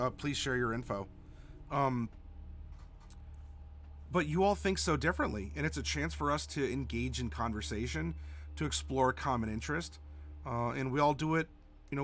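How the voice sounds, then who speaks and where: A man with a cold, stuffy and a little hoarse, hosting a live stream in a rambling, casual way with many restarts. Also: medium pitch, fairly bright tone. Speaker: stream host.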